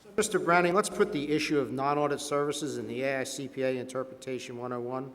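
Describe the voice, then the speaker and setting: A man playing a formal, probing board member questioning a witness in a staged hearing, measured and deliberate. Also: mid-range voice, fairly even intonation, fairly bright tone, natural voice. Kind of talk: scripted dramatization of a hearing. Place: large meeting hall with sound system. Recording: long reverb of a large hall.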